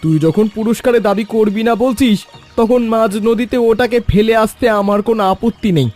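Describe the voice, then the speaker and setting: A man playing a greedy, lazy, deceitful villager in an animated children's story, pushy, boastful and impatient. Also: sits high, normal speed, soft background music, lightly acted. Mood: grudging.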